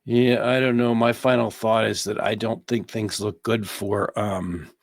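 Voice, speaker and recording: American man, halting legal commentary, dry sound. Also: lowish voice, flat delivery, no echo. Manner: halting, repeats phrases for emphasis. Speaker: host, lawyer commentating on a trial.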